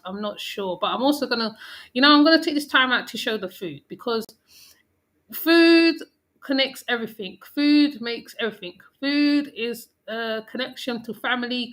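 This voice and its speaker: A woman hosts a reaction video in a chatty, rambling way, often breaking off to restart her sentences. Her voice is fairly high and very bright. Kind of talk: casual commentary.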